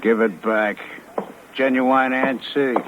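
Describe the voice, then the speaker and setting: A man's voice: mid-range voice, fairly even intonation, slow, a little hiss or hum, natural voice. An actor playing a slick, wry crook in his thirties in a 1950s radio crime drama, talking tough and evasive. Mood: wry, guarded. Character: slick cocky small-time male crook, mid-thirties, flirting. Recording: muffled 1950s radio recording.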